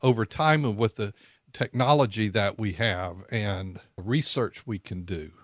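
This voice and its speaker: A man's voice: on the low side, unhurried, dry recording, clean studio sound. A man, hosting a live financial talk radio show in a chatty way, often repeating words as he goes. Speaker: radio host. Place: radio studio. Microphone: studio microphone.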